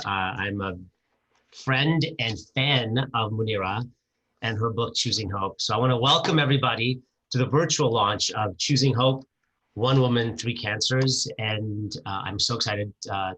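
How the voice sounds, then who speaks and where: Male moderator, fairly low pitch, bright forceful voice, enthusiastic, stumbling over words. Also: poor audio. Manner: enthusiastic, halting with many fillers and restarts. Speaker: moderator of a virtual book launch. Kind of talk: casual event hosting. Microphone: video call.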